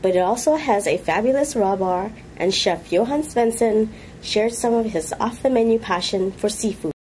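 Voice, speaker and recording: A woman's voice: mid-range voice, normal speed, darkish tone, a little hiss or hum, rough recording. A woman reporter reading an upbeat voice-over introduction. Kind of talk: voice-over narration.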